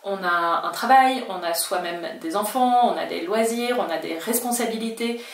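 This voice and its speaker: Woman, native French speaker, Spanish teacher; friendly explainer, mid pitch, a bit off-mic in an echoey room. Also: moderate pace, recorded from a distance. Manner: chatty, reassuring, acts out learners' worries in quotes. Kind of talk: talking-head language lesson.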